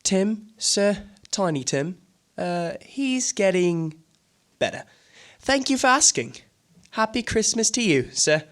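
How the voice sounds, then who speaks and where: A woman's voice: medium pitch, big pitch swings, slow pace. A woman playing a meek, kindly male clerk in a radio drama, timid and deferential. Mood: meek, polite.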